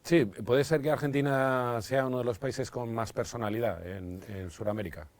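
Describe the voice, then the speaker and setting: A man hosting a casual podcast as a Spanish teacher, asking lively, curious questions. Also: mid-range voice, normal speed, dry recording.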